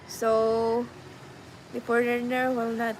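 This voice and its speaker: A woman's voice: a little high, completely flat delivery, slow, slight background noise, poor audio. A young adult woman with a Filipino accent, chatting casually to her viewers and switching between English and Tagalog. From Philippines. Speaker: vlogger.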